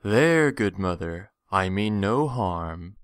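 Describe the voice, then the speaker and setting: A man playing a greedy, condescending villain in an audio drama, with theatrical, drawn-out phrasing. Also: deep voice, expressive, clear, resonant tone, no room sound, clean studio sound. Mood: sly, taunting. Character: menacing, greedy, smooth-talking villain stranger, adult man.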